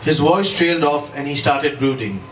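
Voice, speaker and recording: A man's voice: on the high side, normal speed, fairly bright tone. A man giving a dramatic first-person reading from a novel at a book launch. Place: indoor event room at a book launch, miked from a distance. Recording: distant echoey room, clear background noise.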